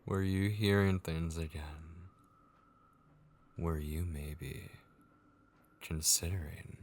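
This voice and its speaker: A sinister, possessive male tormentor acted by a man speaks in a very deep voice full of gravel. He goes very slowly through an intimate horror ASMR monologue, coaxing and mocking with false tenderness, often sinking to a whisper. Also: fairly even intonation, a little hiss or hum. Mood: coaxing, taunting. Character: sinister, possessive, taunting male voice haunting a captive vampire.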